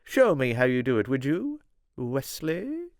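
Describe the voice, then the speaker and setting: A man's voice: medium pitch, wildly swooping pitch, measured pace, a little mellow, no room sound, studio quality, voice pushed up. A man voicing a haughty, flirtatious countess in an audiobook, with a posh British accent and an imperious drawl. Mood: flirtatious, grand. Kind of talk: audiobook character voice.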